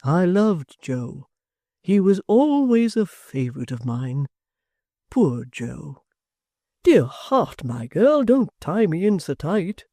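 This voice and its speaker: A reader doing a kindly, earnest old-fashioned locksmith. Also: male voice, sits high, very animated, slow pace, dry recording, studio quality, voice pushed up, heavily acted. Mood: gentle. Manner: gentle, earnest, lingering. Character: kindly middle-aged man, a locksmith.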